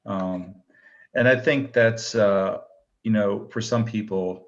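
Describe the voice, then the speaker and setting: A middle-aged man with a low voice tells his story at an easy pace. He wanders through his answers, full of fillers and restarts. The sound is dull and lo-fi. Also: slow pace. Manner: rambling, many fillers and restarts. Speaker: guest, actor and retired military pilot. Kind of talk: casual interview answers.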